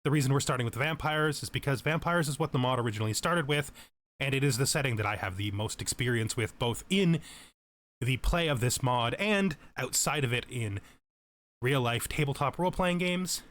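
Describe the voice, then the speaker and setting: A man's voice: medium pitch, animated, normal speed, a bit bright. A man giving explanatory let's play commentary as a tutorial host, methodical and thorough in how he explains things. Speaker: game tutorial host, player.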